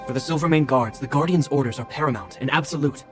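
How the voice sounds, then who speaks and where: An actor playing a dutiful, stern young guard captain in a video game, speaking in a formal, commanding, earnest way. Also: male voice, a little high, lightly acted. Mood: solemn, conflicted. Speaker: military captain. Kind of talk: dramatic video game voice acting. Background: game music.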